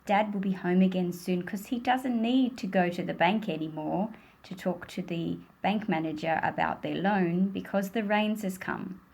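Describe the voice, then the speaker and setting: A woman reading a children's picture book aloud in a gentle, expressive storytelling manner, with deliberate phrasing. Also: fairly low pitch, vocal fry, husky.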